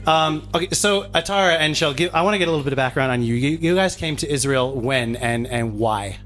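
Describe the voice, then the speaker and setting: A hoarse man hosting a podcast, chatting enthusiastically with guests into a handheld microphone indoors. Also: fairly high pitch, a little fast, a bit bright, soft background music. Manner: enthusiastic, many fillers. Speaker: podcast host. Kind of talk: casual interview, hosting. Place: indoor gathering room.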